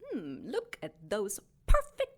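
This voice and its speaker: A woman playing a seductive, menacing dark angel in an audio drama, with theatrical, purring, teasing delivery. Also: on the high side, huge pitch swings, slow, heavily acted. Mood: seductive, taunting.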